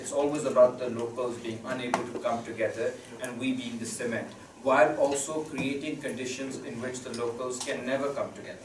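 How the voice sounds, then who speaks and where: A man speaking as a seminar panelist, earnest and measured, often pausing with um and uh. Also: medium pitch. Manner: earnest, measured, slow build with frequent um and uh. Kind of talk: academic panel discussion. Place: university seminar room. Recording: roomy echo, distant mic, noisy background.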